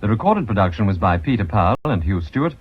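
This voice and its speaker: A man's voice: on the low side, very animated, fast talker, husky. A man with a British accent making a formal, scripted radio announcement as the credits reader. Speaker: announcer reading cast credits. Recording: muffled vintage radio broadcast recording.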